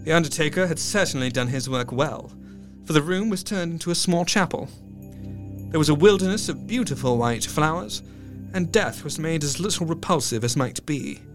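An earnest, sorrowful gentleman narrator, played by a man in a gothic audio drama. His somewhat high voice delivers literary prose with measured gravity, over faint music. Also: close to an everyday voice.

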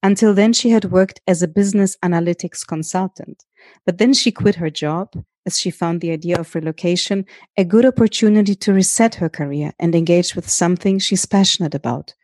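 Lady podcast host, kinda low voice, slow careful intro, dry sound. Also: a little mellow, no echo. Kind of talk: scripted introduction and interview questions.

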